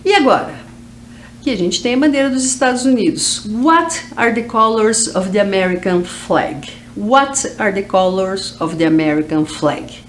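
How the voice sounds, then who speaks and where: A woman's voice: mid-range voice, a little hiss or hum. A woman from Brazil with a Brazilian Portuguese accent, teaching English to teens in a video lesson and drawing out each word as she drills it. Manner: slow, drawn-out drilling of English words.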